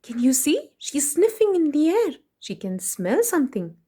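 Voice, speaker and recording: High, lilting woman reading to children. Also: moderate pace. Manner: animated, addresses children directly with questions. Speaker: storybook reader for children. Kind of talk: expressive children's read-aloud with asides to the listeners.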